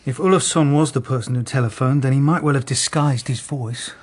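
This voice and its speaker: An actor playing a weary, terse middle-aged police detective in a radio drama, subdued in manner. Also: male voice, mid-range voice, brisk, slight background noise, close to an everyday voice. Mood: sceptical.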